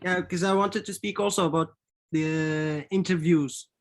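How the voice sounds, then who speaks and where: A man's voice: sits high, steady tone, a little slow. A man on a panel telling his own story plainly and directly, repeating words as he goes. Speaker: panel speaker. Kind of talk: personal account.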